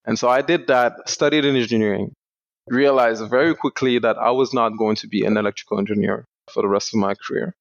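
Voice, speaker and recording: Young guy from senegal explaining his startup, steady calm talk, kinda dull lo-fi dry audio. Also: mid-range voice, creaky, dry recording. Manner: measured, explanatory. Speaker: startup founder, guest. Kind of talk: podcast interview answers.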